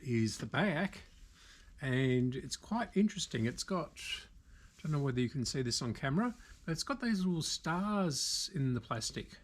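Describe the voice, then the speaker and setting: Man, Australian accent, unhurried slow delivery, mid-pitched, casual repair commentary, halting, thinking out loud. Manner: unscripted, halting, thinking aloud. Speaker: video host, radio repairer. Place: indoor workshop.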